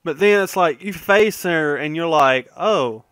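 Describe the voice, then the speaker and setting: A man's voice: a little high, measured pace, a bit bright, clear, resonant tone, no echo. A man giving casual, rambling gaming commentary, conversational and opinionated, often trailing off and correcting himself. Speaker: gaming video host.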